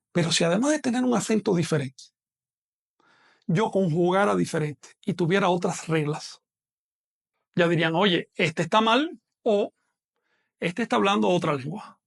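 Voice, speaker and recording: High, gravelly-voiced man lecturing. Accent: non-Mexican Spanish. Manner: explanatory, addresses students directly with check-in questions. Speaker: language teacher. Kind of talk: online lecture.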